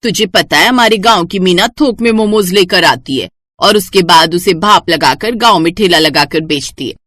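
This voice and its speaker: A woman voicing a practical neighbourhood auntie in a village cartoon story, giving brisk advice. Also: on the low side, fairly bright tone, dry recording, clean studio sound. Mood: frank, encouraging. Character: practical, advising village auntie next door.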